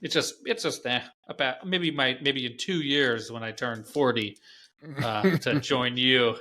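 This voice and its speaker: A man in his late thirties co-hosting a casual podcast, even-keeled with dry, wry humor. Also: medium pitch, a little slow, slight background noise. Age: 30s. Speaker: podcast co-host. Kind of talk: casual chat.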